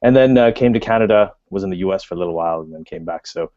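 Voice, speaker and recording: A man's voice: sits low, very fast, warm tone, dry recording, highs cut off. A man in his thirties from Pakistan, a blogger and podcast guest, recounting his background in loose conversational speech. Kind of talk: casual podcast interview.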